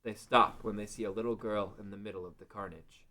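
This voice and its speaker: Low-pitched male story narrator. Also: steady tone, a little fast, bright, forceful tone. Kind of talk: scripted story narration at a table read.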